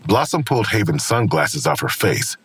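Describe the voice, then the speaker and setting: A man narrating a crime audio drama as a scripted story, with a very husky voice. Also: low-pitched, very animated, normal speed, a bit bright, no echo, heavily acted. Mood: measured, observant. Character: male narrator of a noir-tinged crime drama.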